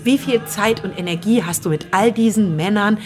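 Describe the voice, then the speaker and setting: A woman's voice: mid-range voice, normal speed, natural voice. An actress playing a combative, self-assured woman writer in a radio play, argumentative, with music behind her. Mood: accusing. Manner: argumentative, indignant. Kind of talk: naturalistic radio play acting.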